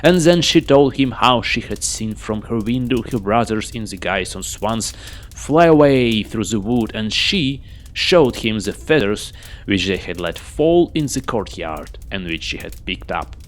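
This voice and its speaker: A man reading a fairy tale aloud as a storyteller, expressively and with measured, theatrical delivery. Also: lowish voice, expressive. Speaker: storyteller, reader. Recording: noisy home recording.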